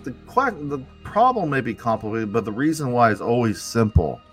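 Male host, mid-pitched, earnest and halting, soft music bed behind. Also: big pitch swings. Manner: earnest, halting, restarts often. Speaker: podcast host. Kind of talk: reflective casual conversation.

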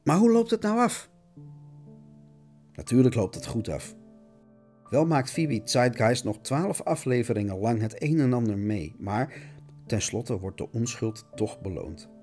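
A man's voice: mid-range voice, expressive, measured pace, warm tone, soft background music. A man narrating a scripted radio essay in a melodramatic, mock-dramatic, declamatory manner.

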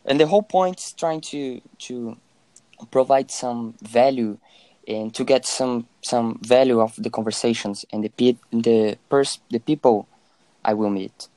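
Man, 18, from Brazil, native Portuguese speaker, halting casual chat, dry sound. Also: medium pitch, mellow, no echo, rough recording. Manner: halting, searching for words.

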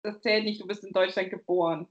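A woman's voice: medium pitch, steady tone, a little fast, muffled recording. A woman from Bavaria co-hosting a podcast, chatting casually, rambling and laughing often. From Bavaria, Germany. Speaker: podcast co-host. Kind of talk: casual chat.

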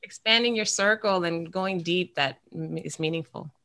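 A woman hosts a podcast interview, posing questions to her guests. Her voice is bright and a little lower than most, and she sounds encouraging and affirming. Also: normal speed. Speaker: podcast host.

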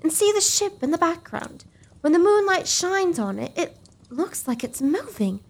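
An actress playing an excitable, melodramatic young woman in a gothic comedy audio drama. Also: very high-pitched, normal speed, a little hiss or hum, heavily acted. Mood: eerie, melodramatic.

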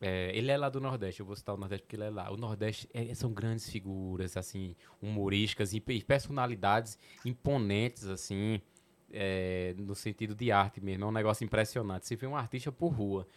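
Pernambuco-accented man telling animated stories. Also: lowish voice, brisk. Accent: Pernambuco sertão, São Francisco valley. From Petrolina, Pernambuco, Brazil. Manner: animated storyteller, laughs often, swears casually. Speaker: comedian guest on a podcast. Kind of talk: casual podcast chat.

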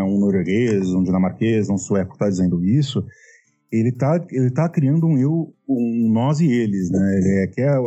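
A man's voice: medium pitch, dark tone, husky, highs cut off. A man with a Brazilian accent talking in a casual academic discussion, reflective, often tagging "né?" and restarting phrases. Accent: Brazilian Portuguese. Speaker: medievalist scholar.

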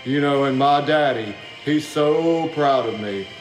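A man's voice: medium pitch, measured pace, reverberant, poor audio. Man mocking crudely over music. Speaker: league member giving a roast. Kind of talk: crude comedic roast.

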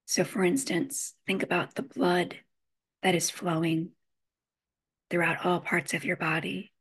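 A woman with a slightly low voice leads a guided meditation. She speaks in short, measured phrases and leaves frequent pauses, soothing the listener as she gives each instruction. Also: flat delivery, slightly rough.

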